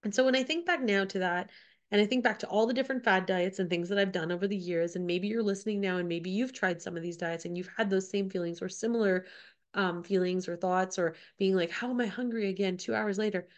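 A woman's voice: mid-range voice, fast talker. A woman in her forties talking through a casual podcast episode, chatty and repetitive, her voice a little off from a cold. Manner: chatty, repeats points, many "like" and "right?". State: getting over a cold, slightly off voice. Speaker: podcast co-host. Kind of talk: casual solo podcast talk.

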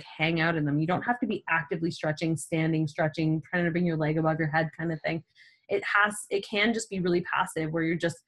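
A woman co-hosting a casual podcast about stretching and wellness, animated, laughing often and filling her talk with "like" and "um". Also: on the low side. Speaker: podcast co-host.

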